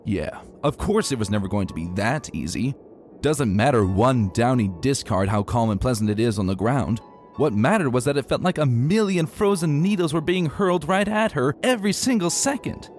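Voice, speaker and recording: A man gives a dramatic reading as narrator. His mid-pitched voice moves animatedly, with colloquial emphasis, and light music plays underneath. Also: moderate pace. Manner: expressive, emphatic, colloquial delivery. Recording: soft music behind narration.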